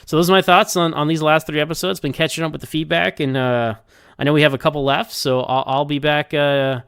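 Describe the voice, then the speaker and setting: A man's voice: fairly high pitch, flat delivery, brisk, a bit bright, clean tone, dry recording, clean studio sound. A man giving a casual, enthusiastic spoken review, rambling and often stammering over repeated words. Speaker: listener sending in episode feedback.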